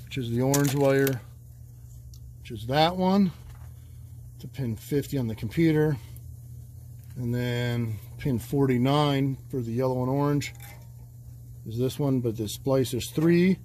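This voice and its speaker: Guy mechanic talking thru a wiring fix, kinda rambly, uh's and i mean's, mid pitch. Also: measured pace, long pauses, dark tone, slight background noise. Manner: loose, rambling, with fillers. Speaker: auto mechanic. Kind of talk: casual repair walkthrough. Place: garage, under a truck.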